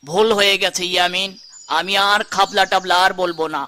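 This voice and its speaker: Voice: medium pitch, steady tone, fairly quick, very noisy, close to an everyday voice. A performer voicing a friendly, bumbling farmer uncle in a children's cartoon, rustic and colloquial, with a Bangladeshi accent. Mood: explaining, apologetic. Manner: rustic, colloquial, good-natured.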